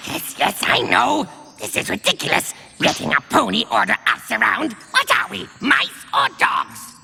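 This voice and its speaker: An actor playing a gruff, dim, bossy dog creature in a cartoon, barking blunt orders in a gravelly voice. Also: medium pitch, huge pitch swings, moderate pace, bright, forceful tone, full character voice. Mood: grumbling, defiant. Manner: barking short, blunt commands, easily exasperated. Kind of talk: animated cartoon acting. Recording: long cavernous reverb.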